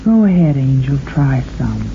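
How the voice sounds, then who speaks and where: Slow, sultry woman on vintage radio. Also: very deep voice, very animated, noisy background. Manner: flirtatious, cooing, addresses listener with pet names. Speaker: radio host and singer. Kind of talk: intimate 1940s radio monologue and sponsor pitch. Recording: old muffled radio recording with organ. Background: organ music.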